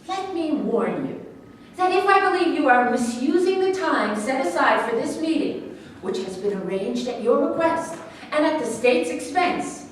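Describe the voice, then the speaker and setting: An actress in a staged reading playing a stern, formal doctor with a firm, official manner. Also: female voice, high voice, lively intonation. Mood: stern warning. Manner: firm, official, measured wording. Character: stern, formal female doctor supervising a prison meeting. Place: echoey room at a staged reading, far mic. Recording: very echoey, distant, faint room noise.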